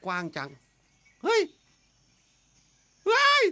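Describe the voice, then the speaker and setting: A woman voicing a boisterous, bossy big boy in a Thai-dubbed cartoon, loud and full of exclamations. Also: drawn-out words, exaggerated voice. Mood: panicked. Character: boisterous, bossy, hot-headed big boy, an older brother. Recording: music behind the voice.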